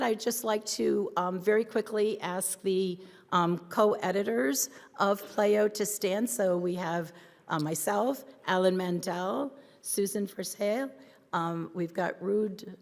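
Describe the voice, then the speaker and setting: A hoarse woman welcomes a conference crowd from a podium, her voice ringing with a long reverb off a large hall. She talks in a friendly, chatty way, full of ums and restarts, and her pitch sits in the middle for a woman. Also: measured pace, a little mellow. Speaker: conference co-chair. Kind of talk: welcome address with announcements.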